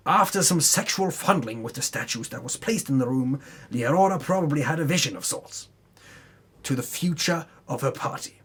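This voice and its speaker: A man's voice: medium pitch, fairly bright tone, husky. A man narrating a tabletop campaign recap as a dramatic storyteller, with theatrical delivery and casual asides.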